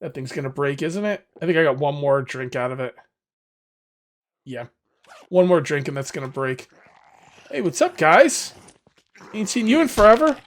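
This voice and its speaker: A man with a hoarse, fading voice, casually commenting on his survival game as he plays and rambling to his viewers. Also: on the high side, expressive, moderate pace, long pauses. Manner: rambling, self-correcting, talks to viewers. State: losing his voice. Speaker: gaming video host. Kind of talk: casual let's-play commentary.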